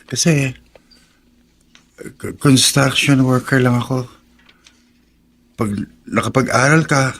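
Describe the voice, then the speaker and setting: A man's voice: medium pitch, fairly bright tone, slightly rough. A man playing a shy, lovestruck young construction worker in a radio drama, with a hesitant, stammering delivery.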